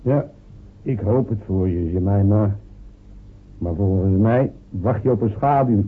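A man's voice: lowish voice, a little slow, breathy edge. An actor playing a poor male villager with six children and a taste for beer, who talks in a hesitant, wheedling way. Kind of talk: radio drama acting. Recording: humming, phone-like radio recording.

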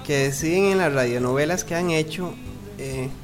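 A woman hosts an interview in a casual, conversational style. Her voice is notably low for a woman. Soft music plays faintly behind her. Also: moderate pace. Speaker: interviewer, host.

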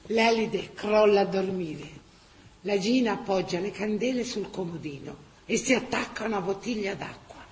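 A woman narrating a radio play in the present tense, with measured, theatrical phrasing. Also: mid-range voice, a little hiss or hum, natural voice. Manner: dramatic, measured, pausing between phrases.

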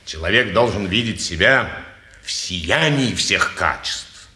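A man's voice: lowish voice, lively intonation, slow, pushed and bright, full character voice. An actor playing a vain, sneering, suspicious man with an affected, disdainful manner in a 1950s Russian stage play. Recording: roomy old stage-play recording.

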